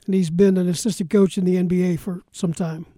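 A man's voice: high voice, flat delivery, a little fast, warm tone, dry recording. An American man hosting live sports talk radio, moving between callers, guests and breaks on a close studio mic. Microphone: close broadcast mic.

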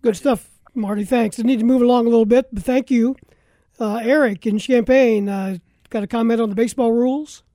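A man's voice: very high-pitched, steady tone, a little fast, dark tone, no echo, clean studio sound. An American man hosting a live sports talk radio show.

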